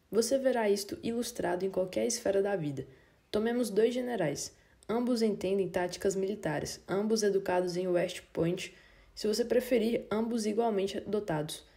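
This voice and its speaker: A woman narrating a nonfiction audiobook in a steady, measured reading voice. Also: mid-range voice.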